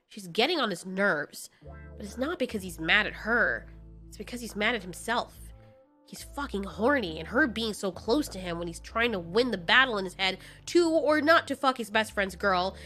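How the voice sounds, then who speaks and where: A woman's voice: medium pitch, animated, normal speed, bright, forceful tone, faint music behind. A woman storyteller giving dramatic scripted narration in an informal, candid way.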